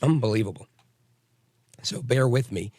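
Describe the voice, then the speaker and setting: Hoarse, raspy male talk radio host. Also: medium pitch, moderate pace, darkish tone, no room sound, studio quality. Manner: blunt, combative, rambling with restarts. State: hoarse from bronchitis. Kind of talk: opinionated talk radio monologue.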